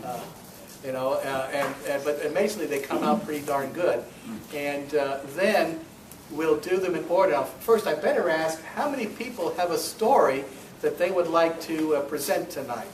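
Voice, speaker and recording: A man speaking as club president, giving an informal welcome and announcements in a halting, rambling, self-correcting way. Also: on the high side. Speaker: club president hosting a meeting. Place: meeting room, recorded from a distance. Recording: roomy, distant mic, faint noise.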